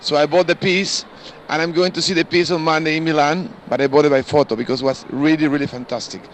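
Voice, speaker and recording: A man tells informal anecdotes as a collector. His voice sits a little high. Clear ambient noise from a busy hall surrounds him. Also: steady tone, quick pace. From Peru. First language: Spanish. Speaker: art collector. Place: large indoor art fair hall.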